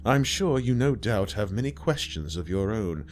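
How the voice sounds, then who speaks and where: A man's voice: fairly low pitch, expressive, moderate pace, soft background music, voice pushed up, natural voice. A man voicing a masked, hooded man who speaks formally with cold contempt.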